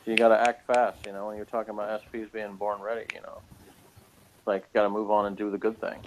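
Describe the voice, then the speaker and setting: A man's voice: fairly low pitch, level tone, fast talker, slight background noise, rough recording. A man giving a casual review in conversation, blunt and dryly sarcastic, rambling as he talks. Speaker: guest reviewer of a TV episode.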